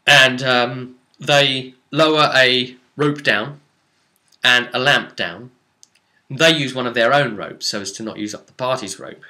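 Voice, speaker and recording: Guy recapping his rpg session, really bright punchy voice, dramatic and emphatic storyteller. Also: medium pitch, steady tone, a little slow, low-quality recording. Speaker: game master recapping a campaign. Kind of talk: tabletop role-play session recap, informal storytelling.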